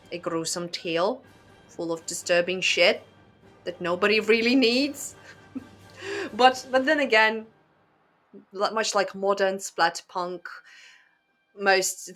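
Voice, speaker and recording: A woman's voice: mid-range voice, a bit bright. A woman giving casual commentary to viewers as a host, talking in a hesitant, wry way with rhetorical asides. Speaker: host of a book and fairy tale commentary channel.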